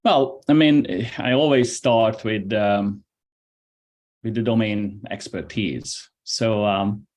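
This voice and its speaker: A man, a business advisor and professor, speaking in a measured way with frequent fillers in a casual interview. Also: lowish voice, darkish tone. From Hungary. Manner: measured, hesitant with many fillers.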